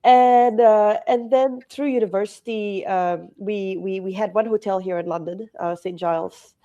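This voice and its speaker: A woman's voice: medium pitch, fairly even intonation, unhurried, dark tone, lo-fi sound. A woman in her forties from Malaysia, a hotel company CEO chatting as a podcast guest, a little nasal from hay fever, with many fillers and restarts. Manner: chatty, frequent restarts and fillers, laughs often. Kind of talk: casual interview conversation.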